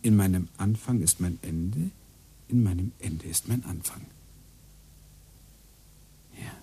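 An actor playing a brooding computer engineer who mutters to himself and grows agitated in a radio play. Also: male voice, sits low, slow, warm tone, a little hiss or hum.

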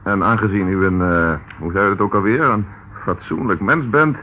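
An actor playing a calm, dryly ironic male police inspector in a radio drama. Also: on the low side, moderate pace, natural voice. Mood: patient, ironic. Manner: dry, composed, ironic. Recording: tinny, noisy old radio recording.

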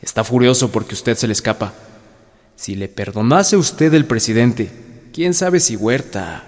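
An actor playing a reassuring gentleman politician in a historical radio drama, speaking in a measured, soothing way. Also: on the low side, lightly acted. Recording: long hall reverb, music underneath.

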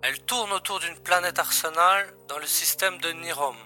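A man's voice: fairly high pitch, moderate pace, sharp tone, soft background music. An actor playing an agent's wry, familiar and matter-of-fact male colleague in a science-fiction audio drama.

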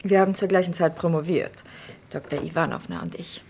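An actress playing a cool, barbed wife who is a wealthy doctor, with clipped, pointed delivery in a vintage crime radio play. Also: female voice, deep voice, very animated, a little fast, creaky, darkish tone, slight background noise, exaggerated voice. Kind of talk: vintage German crime radio drama acting.

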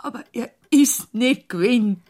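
An actress playing a distraught, defensive farmer's wife with a Bavarian accent, halting and breathy, in a German radio drama. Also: female voice, fairly high pitch, a little mellow, close to an everyday voice. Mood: defensive, fearful. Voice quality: husky, breathy. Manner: halting, fretful, pleading.

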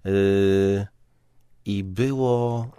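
A man's voice: fairly low pitch, steady tone, extremely slow, mellow, clear, resonant tone, no echo, studio quality. A man talking casually as a guest, informal and hesitant, thinking aloud and letting sentences trail off. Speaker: podcast guest, stage comedian and improviser. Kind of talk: casual conversation.

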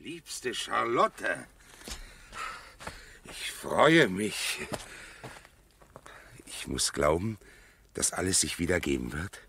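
An actor in a 1970s German radio drama playing an eccentric, self-important marriage mediator who speaks in a declamatory, self-satisfied way. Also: male voice, low voice, big pitch swings, extremely slow, vocal fry, pauses often, bright, forceful tone, a little hiss or hum, exaggerated voice. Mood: solicitous.

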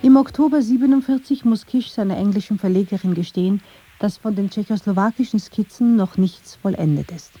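Woman, documentary narrator, very mellow dark tone, measured, factual, slightly dull recording. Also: mid-range voice, soft background music.

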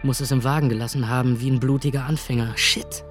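A man's voice: medium pitch, fairly even intonation, fast talker, faint music behind, lightly acted. An actor playing a cocky, laid-back young man who talks in casual slang in a radio play.

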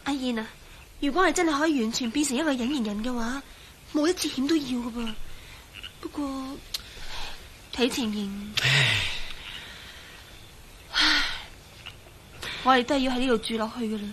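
A woman's voice: high voice, normal speed, pauses often, fairly bright tone, a little hiss or hum. A woman acting an anxious, resigned fugitive in a Cantonese radio drama, with a Hong Kong accent. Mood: worried, resigned.

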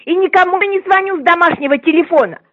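A woman's voice: extremely high pitch, fast talker, a bit bright. A woman in her sixties on a phone call, scolding and insistent, repeating herself. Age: 60s. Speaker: prank call target. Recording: muffled phone line.

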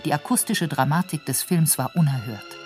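A woman's voice: low-pitched, normal speed, a little mellow, faint music behind. A woman reading scripted narration for a radio documentary.

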